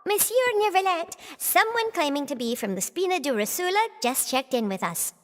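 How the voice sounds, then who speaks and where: A woman's voice: extremely high pitch, sing-song, moderate pace, long reverb tail. A woman voicing a small, polite, dutiful creature who serves as a court attendant in a video game.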